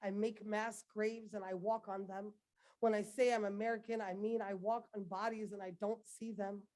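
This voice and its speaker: A woman's voice: medium pitch, little pitch movement, normal speed, mellow. Woman poet at a podium, rhythmic spoken word delivery with a building refrain. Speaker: poet reading at a dedication ceremony. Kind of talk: spoken word poetry performance. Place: ceremony podium.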